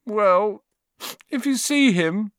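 A man's voice: sits high, lively intonation, slow, clear, resonant tone, no echo, voice pushed up. A man voicing an anxious, tearful young schoolboy who wails miserably. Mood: miserable. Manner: wailing, miserable.

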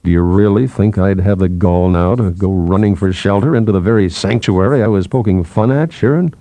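A man's voice: low-pitched, fairly quick, dark tone, dry recording, voice pushed down. A man reading the part of a weary, stubborn newspaperman, defensive and wry, in an audiobook story. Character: proud, weary male newspaperman, uneasy yet stubborn.

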